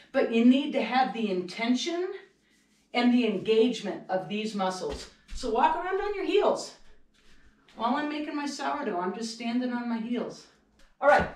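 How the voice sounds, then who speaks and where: Middle-aged woman ski instructor, upbeat and demonstrative, slightly high voice, far mic in an echoey kitchen. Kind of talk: casual home video lesson. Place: home kitchen. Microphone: far camera.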